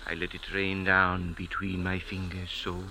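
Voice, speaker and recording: A man's voice: low voice, monotone, measured pace, sharp tone, full character voice, low-quality recording. An actor playing a humble, pleading male sand diviner in a 1940s radio drama. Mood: mystical.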